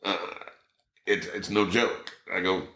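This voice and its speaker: A middle-aged man telling a story in casual chat, acting out quotes with a raised voice. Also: slow. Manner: animated, acts out quotes with raised pitch. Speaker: podcast guest. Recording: roomy echo.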